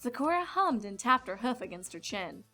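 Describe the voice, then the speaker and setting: A woman's voice: fairly high pitch, very animated, normal speed, pushed and bright. A woman reading scripted storybook narration as the narrator. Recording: music behind the voice.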